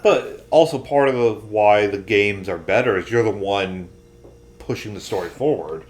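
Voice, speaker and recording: Male podcast co-host, lowish voice, opinionated and emphatic, conversational delivery. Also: moderate pace. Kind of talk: casual chat about pop culture.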